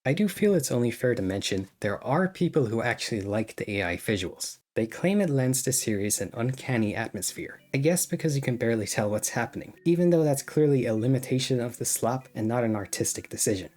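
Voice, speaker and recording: A man's voice: mid-range voice, lively intonation, a little fast, few pauses, a little mellow. A man narrating a scripted video essay as a commentator, critical and sarcastic in tone. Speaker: YouTube commentator.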